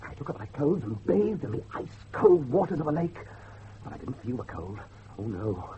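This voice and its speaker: An actor playing a defiant, unhinged young grandson in a horror radio drama, with a husky, breathy voice. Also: male voice, medium pitch, sing-song, normal speed, mellow, muffled recording, a little hiss or hum. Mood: exhilarated.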